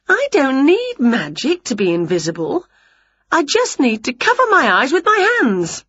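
A woman voicing an excitable, scatterbrained witch in a theatrical, exclamatory way for a children's storybook. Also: medium pitch, moderate pace, breathy edge, dry recording, highs cut off. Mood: eager scheming. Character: excitable, scatterbrained female witch, dramatic and cheery.

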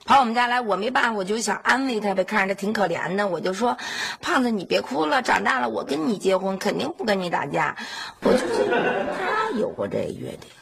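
A woman's voice: mid-range voice, very animated, a little fast, gravelly, few pauses, fairly bright tone, some chatter behind. A woman playing a chatty, easily exasperated middle-aged mother in a family sitcom, speaking with a Beijing accent. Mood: fond reminiscing. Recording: faint background noise.

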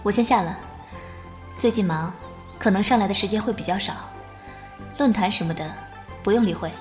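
An actress playing a composed, authoritative young woman in an audio drama, speaking calmly in short, measured sentences. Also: medium pitch, lightly acted. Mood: cool, resolute. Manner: calm, measured, cool, brief sentences. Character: composed, authoritative young mother and senior sister. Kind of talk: modern audio drama acting. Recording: soft music behind the voice.

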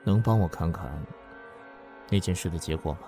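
A male actor plays a guilty man with a secret in a suspense radio drama. His voice is low, uneasy and guarded, and music plays clearly behind it. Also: moderate pace, warm tone, natural voice. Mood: troubled, hesitant. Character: guilt-ridden, secretive, anxious man hiding a crime.

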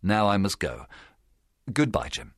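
Man as stern, husky gentleman doctor. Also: on the low side, sing-song, measured pace, no echo, studio quality, full character voice. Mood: grave, careful. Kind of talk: audiobook character acting.

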